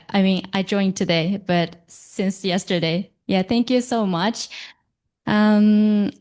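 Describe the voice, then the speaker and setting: Slow, smooth-voiced woman panelist. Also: mid-range voice, darkish tone. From Japan. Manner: slow, halting, with fillers and self-corrections. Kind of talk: conference panel talk.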